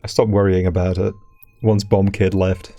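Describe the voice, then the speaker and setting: A man's voice: low-pitched, level tone, normal speed, dark tone, soft background music. A young man who is not a native English speaker, chatting and laughing casually while playing a racing game with friends. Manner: chatty, laughs easily, reminiscing. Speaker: gamer streaming with friends. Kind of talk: casual gaming banter.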